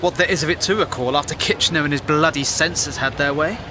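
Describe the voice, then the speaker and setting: A man acting an outspoken English war reporter of the 1910s in a radio play. He is cynical, wry and plain-spoken, pitched high for a man, with obvious car noise in the background. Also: fast, lightly acted. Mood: sceptical. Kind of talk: audio drama acting. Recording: noisy moving-car ambience behind.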